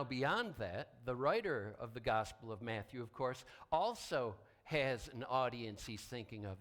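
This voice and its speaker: A male pastor delivers a sermon in a deliberate, emphatic way. He uses dramatic pauses and drops to a hushed tone for weight, with his voice at a medium pitch.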